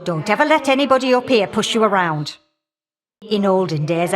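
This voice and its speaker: A woman's voice: medium pitch, fairly bright tone. A woman playing an irritable, motherly old goddess in a video game, scolding and teasing in a folksy way with old-fashioned endearments. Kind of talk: video game character dialogue.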